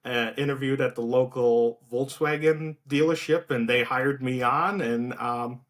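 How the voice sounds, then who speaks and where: South African man, relaxed talk. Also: medium pitch, unhurried. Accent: light South African. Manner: relaxed, many fillers and restarts. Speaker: guest, outreach director. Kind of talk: casual interview talk.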